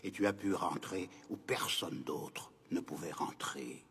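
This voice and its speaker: A man voicing a wise old grandfather in an animated film, kindly and solemn, explaining patiently in a husky, breathy voice. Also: low-pitched, unhurried, heavily acted. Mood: kindly, welcoming. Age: older.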